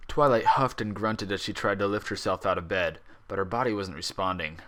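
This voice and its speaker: A man narrates fiction in a somewhat low voice. He reads from a script in the manner of a dramatic audiobook, as a fan reader would. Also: a little fast, a bit bright.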